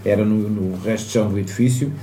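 A man's voice: lowish voice, fairly even intonation, quick pace, dark tone. A man with a European Portuguese accent telling kitchen stories in casual chat, with chuckles and asides. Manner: storytelling, chuckling, drifting into asides. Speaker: chef guest recounting childhood. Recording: echoey room with faint noise.

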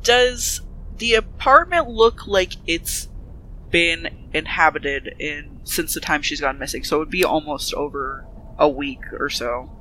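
A man's voice: fairly high pitch, expressive, measured pace, bright, forceful tone, a little hiss or hum, low-quality recording. A man playing a practical, questioning woman detective in an improvised tabletop role-playing game, often thinking aloud. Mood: puzzled, questioning.

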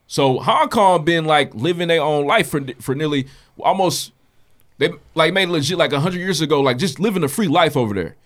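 A man's voice: on the high side, expressive, normal speed, a bit bright. A man co-hosting a podcast, telling a news story in loose slang with plenty of swearing. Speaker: podcast co-host. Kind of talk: casual chat.

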